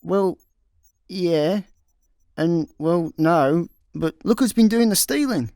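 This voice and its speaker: An actor playing a dim, laid-back intergalactic slacker on the run in a comedic sci-fi radio play, deadpan, with a Scottish accent. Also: male voice, sits high, slow, no room sound, clean studio sound. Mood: bemused.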